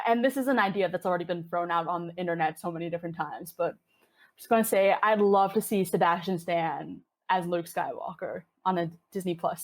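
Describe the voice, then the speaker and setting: A woman in her twenties from Hong Kong, chatting with enthusiasm as a podcast guest, with plenty of fillers. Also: medium pitch. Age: 20s. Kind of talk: casual interview chat.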